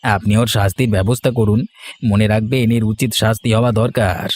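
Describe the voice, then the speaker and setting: A man's voice: on the low side, steady tone, fairly quick, a little mellow, natural voice. A man playing a sly, scheming, self-righteous villager in a cartoon story, with an insinuating manner. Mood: insinuating, indignant. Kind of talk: dramatic cartoon story dialogue.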